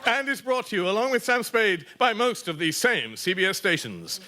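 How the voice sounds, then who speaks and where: An actor playing an old-time radio network announcer, reading scripted credits and teasers. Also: male voice, sits high, sing-song, normal speed, bright, forceful tone, full character voice. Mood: announcing. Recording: noisy live stage with audience.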